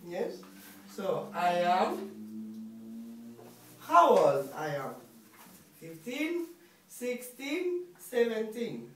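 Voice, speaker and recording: Slow patient woman teacher, echoey room. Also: mid-range voice, wildly swooping pitch. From Spain. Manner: slow, patient, repeats questions for students. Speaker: English teacher. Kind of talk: beginner English lesson. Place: classroom. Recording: echoey room, far from mic, faint hiss.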